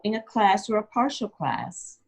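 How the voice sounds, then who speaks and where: A woman's voice: mid-range voice, sing-song, measured pace, gravelly. A middle-aged woman, a yoga teacher and singer, giving earnest, encouraging advice in an interview and repeating her key phrases.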